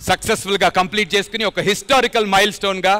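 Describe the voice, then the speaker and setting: A man hosting a television dance show with excited, theatrical, teasing banter. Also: sits high, very fast, clean tone. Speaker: host of a dance reality show. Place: television studio stage. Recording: music behind the voice. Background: music.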